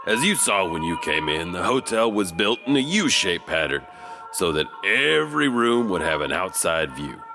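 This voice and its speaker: A man's voice: deep voice, big pitch swings, normal speed, creaky, music in the background. A man narrating as an enigmatic janitor host, telling spooky tales with eerie chuckles and a drawling delivery. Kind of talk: spooky scripted horror narration.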